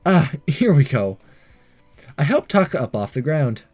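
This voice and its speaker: Male let's player, higher-pitched and lively, mellow tone, stumbles through read-aloud dialogue and narration over music. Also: measured pace, husky. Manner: stumbles over lines and re-reads them. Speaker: let's play host. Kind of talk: reading visual novel aloud with reaction commentary. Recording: music behind the voice. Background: game music.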